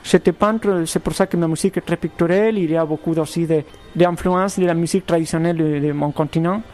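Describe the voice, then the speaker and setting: High-voiced man. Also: fairly even intonation, darkish tone, soft background music. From Argentina. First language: Spanish. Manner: thoughtful, halting, many restarts. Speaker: composer. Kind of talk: radio interview.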